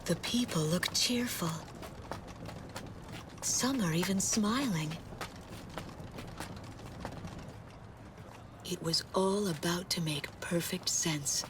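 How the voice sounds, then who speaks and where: An actress playing a pensive, war-weary woman, narrating her journey in a measured, scripted dramatic style. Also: fairly low pitch, noisy background. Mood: quiet wonder.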